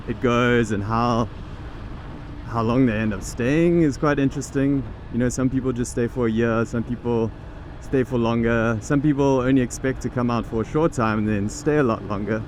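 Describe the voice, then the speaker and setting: A man talking casually and thinking aloud as he rides a motorbike through city traffic outdoors. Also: medium pitch. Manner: relaxed, chatty, thinking aloud with restarts. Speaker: vlogger and teaching program founder. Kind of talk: casual talk to camera while riding. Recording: traffic noise behind.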